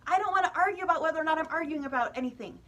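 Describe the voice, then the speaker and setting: A woman's voice: high voice, expressive, racing, fairly bright tone, muffled recording. An American woman vlogger giving a casual opinion monologue to viewers, chatty and emphatic with exasperated asides.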